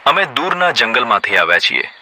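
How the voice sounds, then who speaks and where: A man's voice: mid-range voice, big pitch swings, a little fast, noisy background. A man doing a friendly naive goat husband. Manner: friendly, trusting. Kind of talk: cartoon voice acting. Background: background music.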